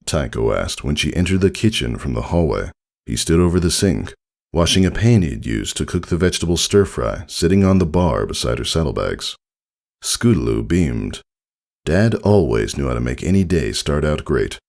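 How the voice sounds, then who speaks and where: A man reading fiction aloud as an audiobook narrator, with expressive scripted delivery. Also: very deep voice, moderate pace, creaky.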